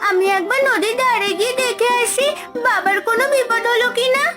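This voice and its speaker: A sweet, earnest little girl in a cartoon folktale. The voice is extremely high and childlike. Loud music plays underneath. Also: fairly quick. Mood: earnest, concerned.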